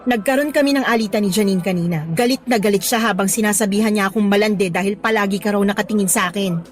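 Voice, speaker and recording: A woman playing an earnest young female teacher in a radio romance drama, expressive and mixing Tagalog with English. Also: medium pitch, fast, soft background music, close to an everyday voice. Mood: uneasy, hesitant.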